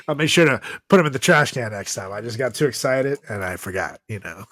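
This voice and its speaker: A man's voice: mid-range voice, expressive, a little fast, fairly bright tone, no room sound, studio quality. A man hosting a comedy podcast with casual, profane banter and theatrical announcer flourishes. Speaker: podcast host.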